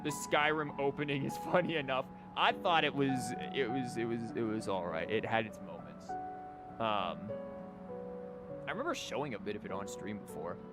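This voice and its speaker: A man's voice: medium pitch, normal speed. A man chatting casually and ramblingly as he streams a video game. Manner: rambling, conversational. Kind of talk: casual livestream chat and game commentary. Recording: game music behind the voice. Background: game music.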